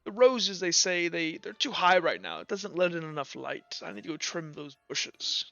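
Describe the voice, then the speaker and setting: A man voicing an easygoing old campus gardener in a tabletop game, plain-spoken and halting. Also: fairly high pitch, lively intonation, creaky, a bit bright, no room sound, lo-fi sound, soft background music. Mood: mild, halting. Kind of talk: game master acting a character in a tabletop game.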